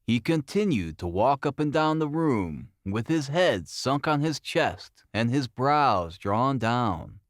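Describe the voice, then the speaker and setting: A man reading a classic novel as scripted narration, in a formal, expressive storytelling manner. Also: medium pitch, slow pace, hardly any pauses, dry recording, studio quality. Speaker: audiobook narrator.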